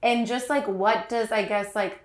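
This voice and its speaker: A woman's voice: on the high side, steady tone, measured pace, roomy sound. A young woman co-hosting a casual podcast, congested from allergies, chatty and joking. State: stuffy from allergies. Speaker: podcast co-host. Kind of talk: casual chat.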